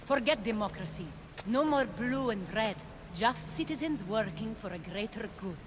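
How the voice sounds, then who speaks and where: An actress playing a persuasive, cunning woman schemer in a video game cutscene, with a smooth, coaxing delivery. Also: on the high side, expressive, normal speed, background noise, close to an everyday voice. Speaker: game character.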